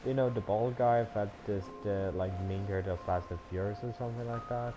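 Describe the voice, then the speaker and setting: Man rambling casually over loud game music. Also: fairly low pitch, steady tone, fairly quick. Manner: rambling, thinking aloud. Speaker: game streamer. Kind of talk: casual livestream chat while gaming.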